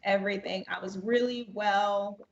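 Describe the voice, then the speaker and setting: A woman's voice: mid-range voice, fairly even intonation, moderate pace, gravelly, reverberant, highs cut off, a little hiss or hum. A woman in her twenties telling a casual anecdote as a podcast guest, acting out quoted dialogue and repeating phrases as she goes. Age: 20s. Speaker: podcast guest, amateur voice actress.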